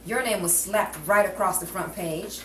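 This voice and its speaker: A woman's voice: medium pitch, normal speed, a bit bright, close to an everyday voice. A woman playing a reasonable, mildly scolding 1940s friend in radio comedy style. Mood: reproving. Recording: roomy, distant mic, noisy room.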